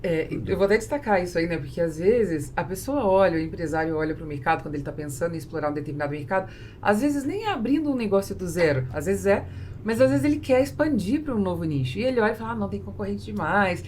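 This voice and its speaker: A woman's voice: medium pitch, expressive, quick pace, a little mellow. A woman with a Brazilian accent hosting a conversational business interview. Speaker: podcast host. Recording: clear background noise, room ambience.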